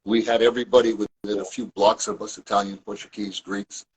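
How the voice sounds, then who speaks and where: A man's voice: lowish voice, level tone, a little fast, breathy edge, rough recording. A man from Toronto, a guest and author, telling his family history in a rambling, casual way with many restarts and asides. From Toronto, Canada. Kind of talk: casual conversational storytelling.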